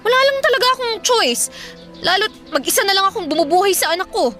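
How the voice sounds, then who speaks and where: A sharp, high-voiced defiant elder sister. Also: female voice, very animated, fast talker, faint music behind, exaggerated voice. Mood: defensive, exasperated. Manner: scolding, sarcastic, blunt. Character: defiant, irritable elder sister, hard-up single mother. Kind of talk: radio drama acting.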